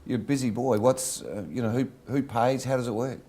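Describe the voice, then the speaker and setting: A man's voice: medium pitch, fairly even intonation, brisk, mellow. A man hosting a studio interview, asking friendly, chatty questions with plenty of fillers. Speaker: host. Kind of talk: casual interview talk. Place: radio studio.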